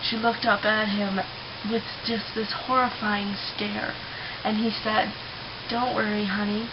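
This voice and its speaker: A young woman chatting and telling stories casually as a vlogger, with an informal, halting, giggly manner. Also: medium pitch, level tone. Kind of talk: casual chat and rambling storytelling. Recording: muffled webcam-style audio with loud hiss.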